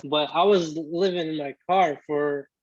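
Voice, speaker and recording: A man in his twenties, born in Iraq with Arabic as his first language, telling his story as a guest in a casual interview, halting with many restarts. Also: fairly high pitch, fairly even intonation, dry recording, muffled recording. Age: 20s. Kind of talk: casual video-call interview.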